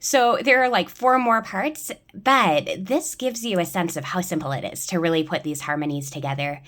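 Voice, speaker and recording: Woman, choir director type, somewhat low register, informal step-by-step instructional delivery. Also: moderate pace, fairly bright tone. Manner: explains step by step. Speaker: choir director giving a software tutorial. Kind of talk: informal spoken tutorial.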